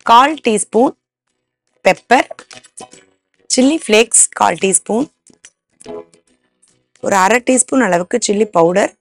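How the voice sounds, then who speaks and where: Woman in her 30s walking viewers thru a recipe, bit of gravel in her voice, lapel mic in a tv kitchen. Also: fairly high pitch, lively intonation, takes it slowly, pauses often, faint music behind. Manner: explains each step plainly to viewers. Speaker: cooking show host. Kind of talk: step-by-step cooking demonstration. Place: studio kitchen set.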